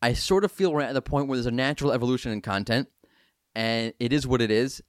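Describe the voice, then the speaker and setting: A man hosting a podcast, talking casually and emphatically in quick bursts. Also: mid-range voice, no room sound, studio quality. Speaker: podcast host.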